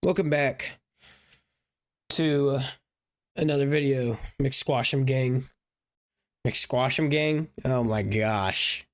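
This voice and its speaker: Raspy man with a congested voice. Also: mid-range voice, slow pace, pauses often. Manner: chatty, easily amused, breaks off with coughs. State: raspy, recovering from sinus trouble. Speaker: gaming channel host. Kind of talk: casual let's-play commentary. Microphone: headset. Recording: loud steady background noise.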